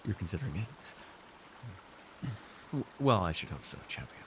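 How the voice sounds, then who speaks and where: A man's voice: sits low, big pitch swings, moderate pace, a little hiss or hum. A man playing an arrogant, seductive fantasy warlord in an intimate roleplay, teasing and theatrical as he speaks to one listener. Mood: smug, coaxing. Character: arrogant, seductive male fantasy villain warlord, dream-wielding conqueror. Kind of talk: immersive ASMR fantasy roleplay acting.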